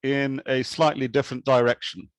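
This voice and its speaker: A man from Kenya giving an academic talk with slides, speaking in a measured, thoughtful way with occasional restarts. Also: mid-range voice, flat delivery, no room sound. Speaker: conference speaker.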